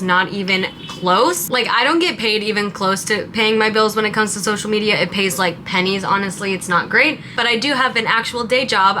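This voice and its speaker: A woman's voice: mid-range voice, noisy background. A woman in her late twenties, from Montana and raised in Southern California, chatting casually and rambling while doing her makeup at home. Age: 20s. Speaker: content creator. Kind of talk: casual get-ready-with-me chat.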